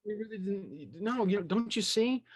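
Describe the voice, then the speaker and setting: A man's voice: high-pitched, animated, moderate pace, slightly rough, no room sound. A man talking casually and with strong opinions as a podcast guest, slipping into imagined quotes in slangy language. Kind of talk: animated casual conversation.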